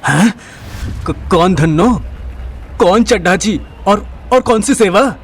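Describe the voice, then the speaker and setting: Man acting a high-voiced, flustered young man. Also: lively intonation, slow pace, slight background noise, exaggerated voice. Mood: confused, flustered. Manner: stammering, earnest. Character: flustered, embarrassed young man, polite but exasperated. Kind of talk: Hindi audio drama acting.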